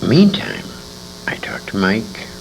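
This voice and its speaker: A man in his sixties telling stories in an interview, speaking emphatically and dramatically with pauses. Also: on the low side, very animated, poor audio. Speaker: interviewee, veteran radio actor. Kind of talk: conversational storytelling in an interview. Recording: hissy, humming 1980s amateur convention tape.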